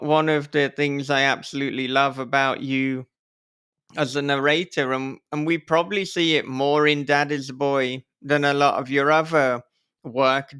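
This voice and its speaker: Male podcast host, medium pitch, crisp resonant voice. Casual, wordy, effusive, swears lightly. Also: fairly bright tone.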